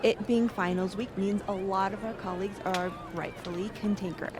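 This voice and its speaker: A woman's voice: medium pitch, steady tone, normal speed, background noise, natural voice. A woman playing a dry, formal academic in video game dialogue.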